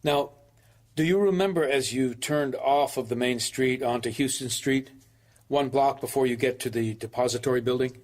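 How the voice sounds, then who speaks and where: A man playing a methodical commission lawyer who questions a witness formally and deliberately in an audio drama. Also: mid-range voice, darkish tone, close to an everyday voice. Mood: formal, probing.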